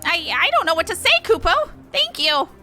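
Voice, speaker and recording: Woman voicing a high, bright little moogle. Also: big pitch swings, normal speed, soft background music, heavily acted. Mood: eager. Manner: earnest, exclaiming, ends remarks with a verbal tic. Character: small earnest moogle crafter, eager and perfectionist. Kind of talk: reading game dialogue aloud in character.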